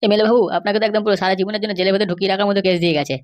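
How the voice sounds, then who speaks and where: A woman's voice: mid-range voice, steady tone, very fast, lightly acted. Woman as a sly, rattling cartoon lackey. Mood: dismissive, insistent. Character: sly male cartoon schemer and bribe-seeking jail constable. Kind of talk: amateur cartoon comedy dubbing.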